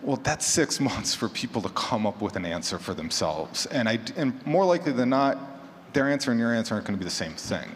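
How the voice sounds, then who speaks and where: A man's voice: mid-range voice, big pitch swings, fairly quick. A man speaking casually on a conference panel as a podcaster, conversational and full of hypothetical examples. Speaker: panelist, horror fiction podcaster. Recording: echoey hall, clear background noise.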